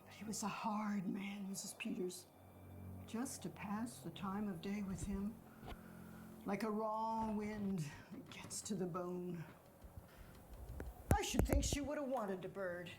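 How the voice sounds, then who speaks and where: A woman's voice: mid-range voice, fairly even intonation, slow pace, pauses often, noisy background, natural voice. A woman playing a regretful, plain-spoken country farm wife in a stage drama, with a hesitant, folksy way of talking. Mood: wistful, regretful. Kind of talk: stage drama dialogue acting.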